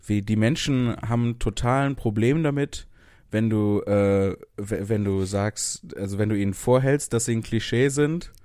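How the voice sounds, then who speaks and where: Man, young adult, lowish voice, dry close sound, wry jokes, hesitant fillers. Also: moderate pace, darkish tone, dry recording. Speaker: podcast co-host. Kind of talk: casual chat.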